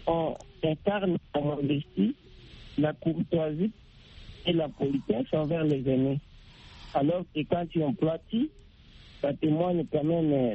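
Husky male caller, hesitant, on a tinny phone line. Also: on the high side. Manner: hesitant, repeats words. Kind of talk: phone-in call.

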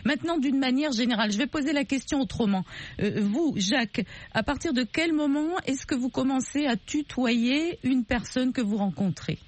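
A woman's voice: high-pitched, animated, moderate pace. A woman hosting a call-in radio show, asking probing questions and rephrasing them when unclear.

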